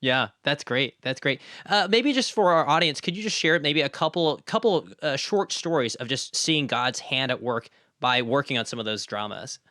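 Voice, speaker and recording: Bright-voiced man hosting an interview. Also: medium pitch, animated, normal speed, hardly any pauses, no echo, clean studio sound. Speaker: podcast interviewer, host. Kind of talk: conversational interview.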